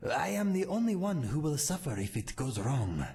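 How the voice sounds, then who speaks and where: An actor voicing a loyal, gentle male cat-folk warrior who speaks in a calm, formal, earnest way. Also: fairly high pitch, normal speed, vocal fry.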